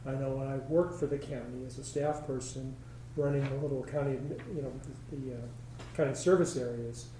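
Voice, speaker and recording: A man's voice: medium pitch, warm tone, a little hiss or hum. A man on a public commission, talking in a rambling, self-correcting way at a meeting. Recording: echoey chamber, distant mic.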